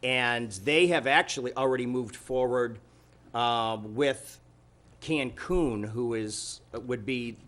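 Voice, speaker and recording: Man, medium-pitched voice, council meeting chair, informal updates, halting conversational delivery. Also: fairly bright tone.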